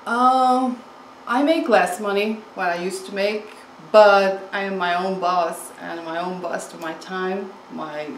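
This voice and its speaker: A woman in her forties from Hungary with a strong Hungarian accent, answering interview questions in a halting way with many fillers, recorded indoors at home on a lapel mic. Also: mid-range voice, steady tone, echoey room, a little hiss or hum, low-quality recording. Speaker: home microgreens grower. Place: home room with window, indoors.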